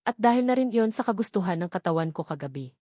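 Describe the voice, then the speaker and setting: A woman's voice: medium pitch, lively intonation, quick pace, dark tone, no room sound, studio quality. A woman with a Filipino accent reading a first-person romance novel aloud as dramatic scripted narration. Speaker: audiobook narrator.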